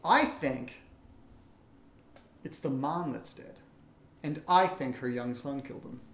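A man's voice: mid-range voice, takes it slowly, fairly bright tone. A man co-hosting a podcast, chatting casually and reading out questions from listeners. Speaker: podcast co-host. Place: indoor room, live-streamed session. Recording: roomy, echoing room sound.

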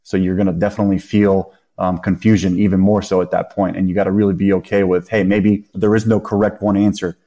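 A man from Bulgaria speaks in a low, mellow voice in a casual podcast chat. He is relaxed and searching, with frequent ums. His tone stays warm. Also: quick pace, few pauses. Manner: relaxed, searching, many fillers.

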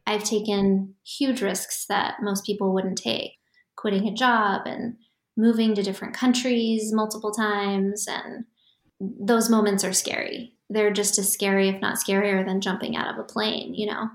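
A woman who founded a startup answers podcast interview questions in a calm, even-keeled, reflective way. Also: medium pitch, measured pace, roomy sound.

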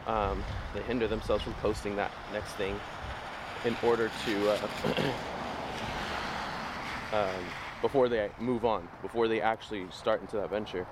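A man's voice: lowish voice, little pitch movement, normal speed. A man hosting a walking vlog, losing his voice, talking in a casual, rambling, upbeat motivational way. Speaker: vlogger host. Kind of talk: walking talk to camera, motivational. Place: outdoors on a city street. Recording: noisy outdoor street recording.

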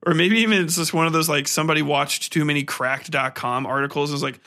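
Bright-voiced man ranting casually, higher-pitched. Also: fairly even intonation, fast. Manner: profane, exasperated, mocking. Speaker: podcast host reviewing a film. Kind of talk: casual, rambling movie rant.

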